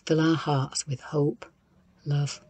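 Husky man reading a liturgy. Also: a little high, little pitch movement, slow pace, a little mellow, no echo. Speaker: reader of a liturgy. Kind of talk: scripted devotional reading.

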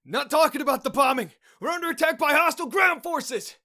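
A man playing a panicked young soldier under attack, shouting urgently in action game style. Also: very high voice, expressive, brisk, full character voice.

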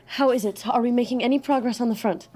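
A woman's voice: a little high, fast, warm tone, close to an everyday voice. A woman playing a hopeful but fearful young married sister in a period audio drama. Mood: eager.

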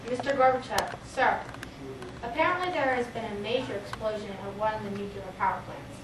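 A woman's voice: medium pitch, a little fast, natural voice. A young teenage girl playing a deferential, grave government aide in an amateur school drama. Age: young teen. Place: small library office. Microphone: far camera. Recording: echoey room, distant mic, clear noise.

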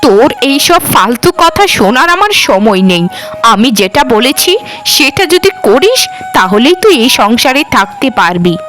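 Woman acting a cruel, scornful, high-voiced scolding aunt. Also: sing-song, normal speed, a bit bright, faint music behind. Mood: sarcastic scolding. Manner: scornful, sarcastic, haranguing. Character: cruel, scolding aunt bullying her orphaned niece. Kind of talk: Bengali cartoon dialogue.

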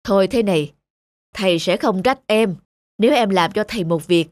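A woman voicing a kindly, concerned male schoolteacher in a children's cartoon dub, speaking gently and earnestly. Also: mid-range voice, moderate pace, dry recording, close to an everyday voice. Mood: kind, proud.

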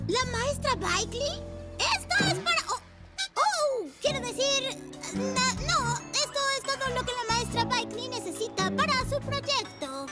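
An actor voicing a boisterous, impulsive young dirt bike in a Spanish cartoon dub, loud and goofy. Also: extremely high pitch, lively intonation, unhurried, echoey room, distant mic, over loud music. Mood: excited.

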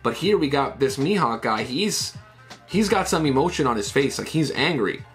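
A man in his thirties, chatting loosely through a comic chapter at a middle pitch. He fills his talk with "like" and "right?" tags. Faint music plays under his voice in a small room at home. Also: very animated, normal speed, a bit bright. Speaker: manga reviewer. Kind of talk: casual breakdown of a comic chapter.

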